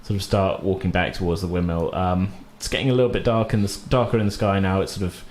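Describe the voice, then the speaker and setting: A man's voice: low-pitched, fairly even intonation, fast, darkish tone. A British man running a tabletop game as game master, talking in an informal, jokey, rambling way. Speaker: game master and podcast host. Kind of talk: casual tabletop game narration. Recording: roomy echo with background noise.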